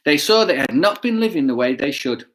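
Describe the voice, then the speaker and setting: A man reading a children's story aloud with chatty asides, playful and expressive, often putting questions to his listeners. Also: on the high side, brisk. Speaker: children's bedtime story reader.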